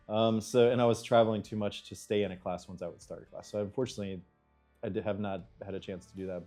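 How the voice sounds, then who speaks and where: An American man, an engineer, answering interview questions in a thoughtful, halting way and now and then clearing his throat. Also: low-pitched, warm tone. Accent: American English. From United States.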